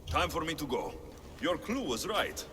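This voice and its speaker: Skeptical game mercenary, wry but lively pitch, echoey hall with faint noise. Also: male voice, normal speed. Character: pragmatic, skeptical male mercenary adventurer in ancient Greece. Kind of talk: video game cutscene acting.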